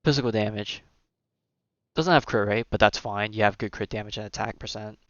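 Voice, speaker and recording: A man's voice: fairly low pitch, a little fast, a bit bright, no room sound, lo-fi sound. A man giving casual livestream game commentary, rambling and thinking aloud in a hesitant way. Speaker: game streamer.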